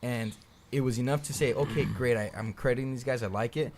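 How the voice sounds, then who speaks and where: A man on a panel giving earnest, measured critique of a rap song. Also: medium pitch, darkish tone, slight background noise. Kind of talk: casual music critique.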